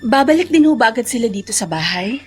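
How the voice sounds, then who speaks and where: Forgiving Filipino daughter, high voice. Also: female voice, big pitch swings, fast, a little mellow, slight background noise. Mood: apologetic, earnest. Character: forgiving, earnest youngest daughter, a married woman. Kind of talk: Filipino radio drama acting.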